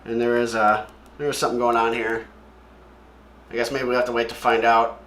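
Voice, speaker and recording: A man's voice: medium pitch, level tone, fairly quick, fairly bright tone, slight background noise. A man hosting a comic read-along with casual, offhand and opinionated commentary as he describes what he sees. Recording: echoey room.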